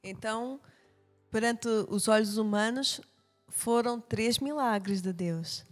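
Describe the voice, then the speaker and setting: A woman's voice: a little high, slow, faint music behind. Woman host with European Portuguese accent. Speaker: host of a women's church programme. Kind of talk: conversational interview.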